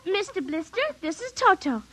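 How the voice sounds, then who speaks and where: A woman's voice: very high voice, sing-song, normal speed. A woman playing a willful, pleading little girl in a 1950s radio drama, with a petulant childlike manner. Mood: bossy, playful. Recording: noisy 1950s radio recording.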